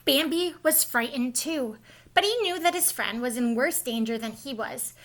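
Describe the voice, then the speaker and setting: Female storyteller for young kids, high-pitched and bright, expressive read-aloud delivery. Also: moderate pace, slight background noise. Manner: expressive, explains to young listeners. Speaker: storybook reader, school staff.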